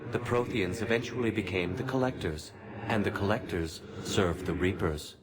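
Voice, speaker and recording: Lowish alien cult fanatic for a game, very formal and preachy, noisy audio. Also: male voice, lively intonation. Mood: devout calm. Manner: formal, measured, sanctimonious phrasing. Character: zealous alien cultist, serenely fanatical and formal. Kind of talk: video game voice acting. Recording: clearly noisy game capture.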